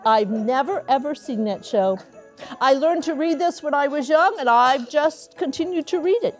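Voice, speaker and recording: A woman reading a children's story aloud in an expressive, theatrical way, with dramatic emphasis and long pauses. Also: sits high, soft background music. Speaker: guest storyteller and academic dean. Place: elementary school classroom with children.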